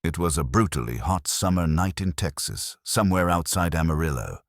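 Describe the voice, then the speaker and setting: A man's voice: deep voice, expressive, normal speed, no room sound, clean studio sound. A man giving a scripted first-person horror narration in a grave, suspenseful storytelling manner. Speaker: narrator of horror stories, posing as a trucker.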